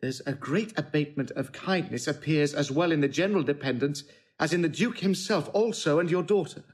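A man's voice: on the high side, fairly quick, lightly acted. An actor playing an earnest, respectful knight attending his king in a Shakespearean audio drama, formal and careful. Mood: deferential, concerned.

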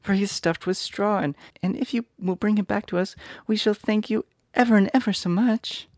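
An eager little girl from a storybook, lifted high above his usual voice, as a male reader puts her on. She talks with earnest politeness and a bright, raised tone, in a very dry, close recording. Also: steady tone, normal speed, dry recording.

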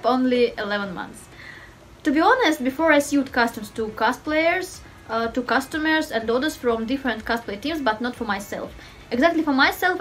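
A woman in her twenties from Russia with a Russian accent, a cosplayer chatting to camera at home in careful non-native English. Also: on the high side. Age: 20s. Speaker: cosplayer and costume designer. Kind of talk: self-introduction to camera. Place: home room indoors.

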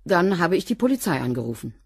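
A cleaning woman in a radio drama, unfazed and curt. Her voice sits fairly low and sounds husky, and she gives blunt, laconic replies in a dry recording. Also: very animated, quick pace, no echo, full character voice. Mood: curt, defensive. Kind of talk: German radio crime drama acting.

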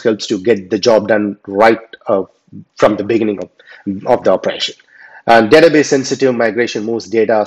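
A man's voice: medium pitch, a little mellow. A man giving an unscripted lecture over slides, talking loosely with many fillers and restarts. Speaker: instructor. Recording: dull lo-fi voice recording.

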